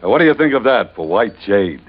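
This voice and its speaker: A man's voice: fairly low pitch, big pitch swings, brisk, a little hiss or hum. An actor playing a plain-spoken, slangy sailor in an old-time radio drama, casual in his way of talking. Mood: urging. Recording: dull, band-limited old radio recording.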